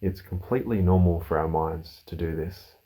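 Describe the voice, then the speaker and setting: A man leading a guided meditation as a mindfulness teacher, giving calm, soothing, unhurried instructions with pauses. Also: low-pitched.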